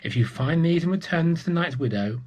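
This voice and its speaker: A man voicing a kindly old woman telling of past wrongs in a solemn, deliberate way for a children's storybook. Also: fairly high pitch, lively intonation, darkish tone, voice pushed up. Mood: grave, urging.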